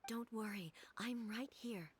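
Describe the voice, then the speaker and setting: A woman's voice: a little high, measured pace, slight background noise, close to an everyday voice. A woman voicing a composed, regal immortal ruler in a video game, speaking formally with grave authority. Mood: calm, assured. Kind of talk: video game character acting.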